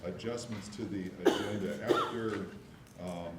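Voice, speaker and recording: A man chairing a board meeting, measured and hesitant, with many fillers, recorded from afar in an echoey room with noise. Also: on the low side. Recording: echoey room, mic from far off, background noise.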